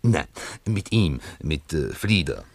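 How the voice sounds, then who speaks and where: Jovial, animated Viennese baron. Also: male voice, a bass voice, takes it slowly, creaky, breathy edge, no room sound, full character voice. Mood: coaxing, amused. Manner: chatty, hesitant interjections, tag questions, teasing. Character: jovial, teasing baron, pleasure-seeking Viennese man about town. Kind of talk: German radio play acting.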